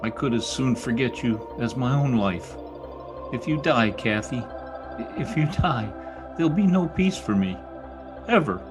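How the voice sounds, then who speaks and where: Male actor as anguished bitter lover, theatrical radio drama delivery, highly animated intonation, music underneath. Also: unhurried, close to an everyday voice. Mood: anguished, pleading. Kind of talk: old-time radio drama acting. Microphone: recorded remotely over video call.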